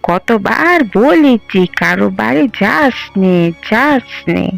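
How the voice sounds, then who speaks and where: Brief: woman as a scolding cartoon village woman, high and gravelly. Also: expressive, slow pace, soft background music, full character voice. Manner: scolding, complaining. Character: nagging middle-aged village woman.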